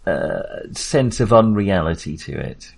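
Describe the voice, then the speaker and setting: A man's voice: low voice, expressive, measured pace, gravelly, darkish tone. A man hosting a casual film discussion, talking in a hesitant, rambling and self-deprecating way. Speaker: film podcast host.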